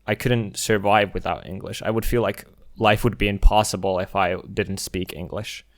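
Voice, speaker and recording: Young Finnish-native host, low voice, dry close sound, easygoing and halting. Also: male voice, no room sound. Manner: relaxed, hesitant, many restarts and fillers. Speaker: podcast host. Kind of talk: casual chat.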